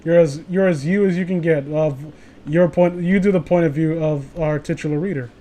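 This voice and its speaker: Sarcastic man with a slightly high voice. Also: brisk, mellow. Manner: sarcastic, profane. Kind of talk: casual joking commentary on read-aloud fan fiction.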